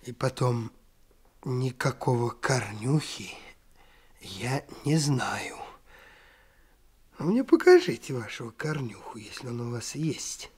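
An actor playing a cornered, evasive crime boss in a radio drama, husky and sardonic, stalling as he speaks. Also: medium pitch, sing-song, slow pace, pauses often, a little mellow, heavily acted. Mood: defiant, sardonic. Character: cornered criminal boss under interrogation, evasive and defiant. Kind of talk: Soviet-style radio drama acting.

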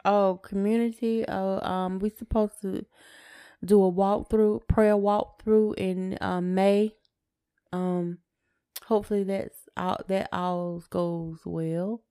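A woman's voice: mid-range voice, flat delivery, slow pace, warm tone, smooth, no room sound, studio quality. A middle-aged woman, chatting casually and in a laid-back way as a podcast co-host.

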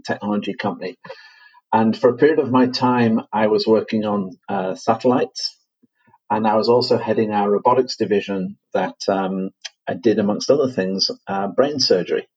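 A man with a Manchester accent, a guest on a podcast interview, talking in a measured way with frequent fillers and restarts. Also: fairly low pitch, darkish tone, husky, highs cut off. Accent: Manchester English. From England. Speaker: guest, company CEO.

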